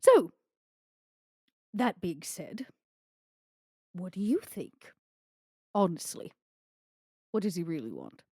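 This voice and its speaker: A woman voices a vampire leader for a tabletop horror game. Her voice is low, dark and close-miked dry, and she is blunt, shrewd and scornful. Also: very animated, takes it slowly, pauses often, slightly rough, dry recording, heavily acted. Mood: probing. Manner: blunt, dismissive.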